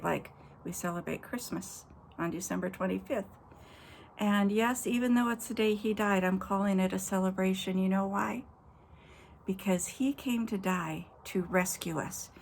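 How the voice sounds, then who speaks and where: A woman pastor telling children a story and a short devotional outdoors at night, speaking gently and directly to the kids. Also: medium pitch, gravelly, slight background noise.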